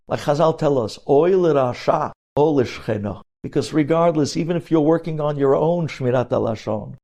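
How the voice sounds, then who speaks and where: A man's voice: medium pitch, gravelly, warm tone. A man giving a religious lesson as a rabbi, explaining emphatically and posing questions that he then answers. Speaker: rabbi teaching religious law.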